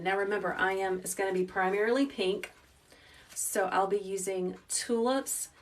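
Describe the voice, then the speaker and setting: 30s lady doing a live craft demo, calm soft teacher voice, mic a bit far off, faint hiss. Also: medium pitch. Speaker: wreath-making livestream host. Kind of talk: casual live craft tutorial. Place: small indoor craft workroom. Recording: slightly distant mic with faint noise.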